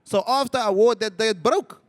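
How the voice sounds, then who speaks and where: High-voiced South African man, wry. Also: normal speed. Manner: animated, wry, rises in pitch when stressing points. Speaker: panel guest. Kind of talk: casual storytelling on a panel.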